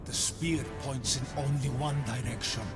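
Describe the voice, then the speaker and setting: An actor playing a defiant, battle-hardened warrior for a game, declaiming grandly in a measured, restrained way as if through gritted teeth. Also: male voice, mid-range voice, fairly even intonation, close to an everyday voice. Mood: rousing, defiant. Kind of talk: dramatic game champion voice lines. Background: loud cinematic theme music.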